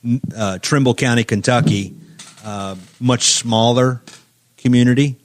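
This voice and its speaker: A man from Indiana who is the guest on a casual podcast, telling stories in a measured way with many filler phrases. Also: mid-range voice, steady tone. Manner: measured, storytelling, many "you know" fillers. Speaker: guest, economic development executive.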